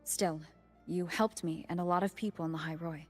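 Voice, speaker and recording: A woman playing a calm, perceptive young heroine in a video game, speaking softly in a measured, serious way. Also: fairly low pitch, soft background music, lightly acted. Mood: resolved. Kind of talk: video game story voice acting.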